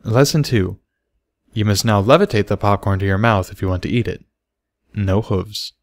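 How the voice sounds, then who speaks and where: A man voicing a patient male unicorn teacher in a storybook, speaking slowly with gentle command. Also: fairly low pitch, no echo, natural voice. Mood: gently commanding. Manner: slow, gentle, instructive. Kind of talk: storybook character voice.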